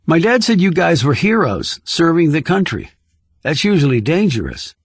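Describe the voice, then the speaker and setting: A man's voice: fairly high pitch, very animated, moderate pace, husky, dry recording, pitched above the performer's own voice, exaggerated voice. A man voicing an earnest, curious, slightly awkward young boy in an audiobook. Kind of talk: audiobook character dialogue.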